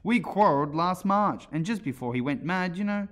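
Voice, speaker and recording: Storybook eccentric: haughty, mournful, contrary man, pitched very high with swooping delivery. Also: lively intonation, normal speed, a bit bright. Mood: mournful, indignant. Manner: argumentative, emphatic, contrary.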